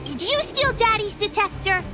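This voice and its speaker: A woman voicing a bold, bossy, excitable little girl gang leader with a childish, blustering manner in a video game. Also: very high voice, normal speed. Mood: stern, demanding. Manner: childish, blustering, speaks of herself by name. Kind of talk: video game character acting. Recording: music behind the voice.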